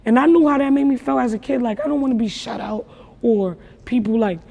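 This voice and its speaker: A young woman with a naturally raspy voice talks about her life in a relaxed interview, her stories emphatic and full of slang. Her voice rings with a long reverb, as if she is in a big hall. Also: on the high side, fairly quick. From New York. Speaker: rapper guest. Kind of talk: casual interview talk.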